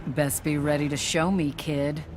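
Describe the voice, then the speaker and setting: Swaggering, very low-voiced woman fighter. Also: steady tone, a little slow. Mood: scornful. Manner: tough, condescending. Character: tough, swaggering seasoned female fighter, dismissive of rookies. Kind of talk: video game character dialogue. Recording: very noisy game effects behind.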